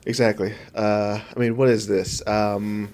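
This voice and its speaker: A man's voice: fairly low pitch, flat delivery, a little slow, darkish tone. A man co-hosting a casual film podcast, sniffly from allergies, who rambles and corrects himself with jokes. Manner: rambling, self-correcting, jokey. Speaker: podcast co-host.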